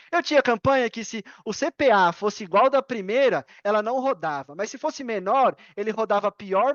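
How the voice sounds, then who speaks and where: A woman's voice: mid-range voice, no room sound, muffled recording. A Brazilian woman speaking Portuguese as a podcast guest, explaining casually and emphatically with examples and questions to herself, opinionated and repeating key points. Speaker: podcast guest, affiliate marketer.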